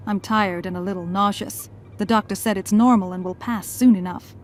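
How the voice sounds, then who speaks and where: A woman's voice: medium pitch, fairly even intonation, fairly quick, close to an everyday voice. A woman playing a tired, wry and tender mother in an audio drama. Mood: weary, wry.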